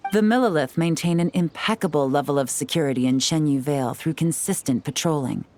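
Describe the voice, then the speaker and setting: A woman voicing a cool, confident female spy in a game, smooth and teasing as she lays out her plans. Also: deep voice. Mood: explaining. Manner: smooth, teasing, self-assured. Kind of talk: video game voice acting. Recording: game audio with soft music behind.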